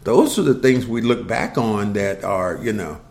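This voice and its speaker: A man's voice: lowish voice, measured pace, vocal fry. An older man, a podcast co-host, telling childhood memories in casual conversation and acting out the people he quotes. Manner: storytelling, animated when quoting others.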